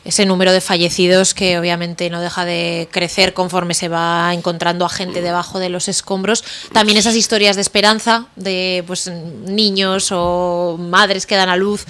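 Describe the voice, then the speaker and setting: A woman hosting an interview, asking brisk, conversational questions. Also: on the low side, level tone, fairly bright tone. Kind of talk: radio interview.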